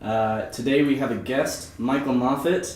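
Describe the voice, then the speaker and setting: A young American man hosts a casual podcast. He talks in a laid-back, slangy manner at a middling pitch. The recording is roomy and echoey. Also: normal speed, a little mellow. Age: young adult. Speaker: podcast host.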